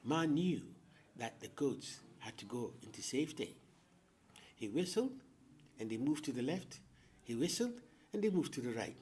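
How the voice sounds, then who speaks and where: A man telling a folktale as host, with theatrical, deliberate phrasing and dramatic pauses. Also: medium pitch, sing-song, a little mellow, slight background noise. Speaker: storyteller, host. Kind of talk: oral folktale storytelling to an audience.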